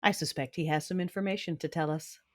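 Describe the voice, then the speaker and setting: An actress playing a cruel, cold cyborg warrior mother in an audio drama, measured, menacing and commanding. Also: deep voice, fairly even intonation, natural voice. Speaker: narrator of own story in audio drama. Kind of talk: dramatic first-person narration and acting. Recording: music bed behind voice.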